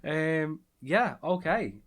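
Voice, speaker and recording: A man's voice: on the high side, lively intonation, slow pace, fairly bright tone. A man with a Scouse accent hosting a podcast in casual chat, rambling and self-deprecating with lots of fillers. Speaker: podcast host.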